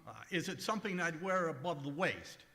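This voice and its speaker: An actor playing a fussy, easily exasperated comedian in an old-time radio comedy, speaking hesitantly with hems and pauses. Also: male voice, on the high side, animated, sharp tone. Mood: curious, coaxing. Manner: hesitant, hemming and drawn-out delivery. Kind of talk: 1940s radio comedy acting before a live audience. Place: large echoey museum room, live stage. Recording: echoey hall recording of stage show.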